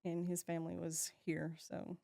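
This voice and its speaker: Lady in her 50s, low fried voice, giggles a lot, trails off, close dry mic. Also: completely flat delivery, moderate pace, creaky, dark tone, no echo. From Texas, USA. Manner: laughs often, trails off and restarts. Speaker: guest, shop owner. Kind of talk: casual interview chat.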